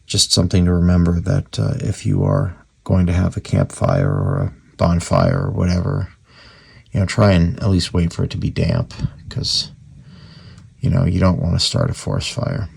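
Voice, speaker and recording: A man giving casual, hands-on repair commentary in a gravelly, husky voice, thinking aloud informally as he works. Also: low-pitched, sing-song, moderate pace, a little mellow. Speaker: radio repairer presenting his restoration.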